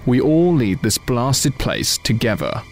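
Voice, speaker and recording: A man's voice: medium pitch, very animated, a little slow. A man playing a protective, exasperated soldier leading his squad in an audio drama, urgent and commanding.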